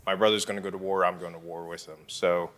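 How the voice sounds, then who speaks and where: A man from Southern California, a guest answering interview questions in a halting way with many fillers. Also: deep voice, level tone, fairly bright tone, long reverb tail. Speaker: political candidate guest. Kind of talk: casual interview chat.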